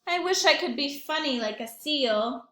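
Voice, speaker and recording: A woman's voice: sits high, animated, normal speed, a bit bright, roomy sound. A woman voicing a wishful, discontented little chameleon from a picture book, in an emphatic, longing way.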